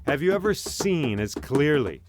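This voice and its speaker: A man's voice: on the high side, very animated, a little slow, loud music behind the voice, pitched above the performer's own voice. Man telling a tale as a commanding, courteous bear king. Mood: courtly. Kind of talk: storybook oral storytelling.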